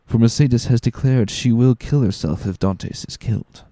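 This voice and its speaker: A man's voice: medium pitch, normal speed, mellow, dry recording, pitched above the performer's own voice, lightly acted. A man voicing a jealous, brooding young Catalan lover, urgent and intense, in a dramatic reading of a novel. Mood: bitter, urgent.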